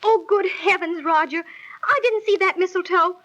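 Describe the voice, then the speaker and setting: An actress playing a naive, excitable young fiancee in theatrical 1930s radio style. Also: female voice, very high voice, normal speed. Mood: dismayed. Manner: theatrical, exclaiming. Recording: dull old 1930s radio recording.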